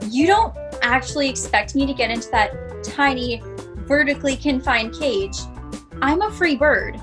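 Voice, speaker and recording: A woman's voice: sits high, expressive, normal speed, in a large hall, music in the background. A woman playing a bored, haughty, sardonic shapeshifting bird in a sci-fi satire audio drama. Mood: defiant, haughty.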